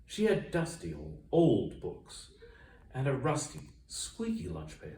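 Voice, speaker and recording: Male storybook reader, very lively delivery, distant mic with room echo. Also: mid-range voice, slow. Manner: expressive, dramatic emphasis and sound-effect words. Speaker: author reading own story aloud. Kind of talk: children's storybook read-aloud. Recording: roomy echo, distant mic, faint noise.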